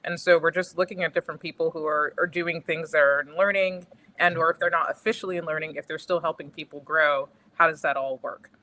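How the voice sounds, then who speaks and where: A woman's voice: deep voice, fairly even intonation, fairly quick, sharp tone. A woman co-hosting a podcast on a video call, talking in an encouraging way. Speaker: podcast co-host. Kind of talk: casual video-call chat.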